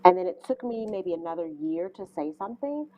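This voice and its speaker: A woman's voice: lowish voice, animated, fairly quick, mellow, a little hiss or hum, rough recording. A woman co-hosting an interview, speaking earnestly and thoughtfully with a big voice and many fillers. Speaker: co-host. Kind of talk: interview questions.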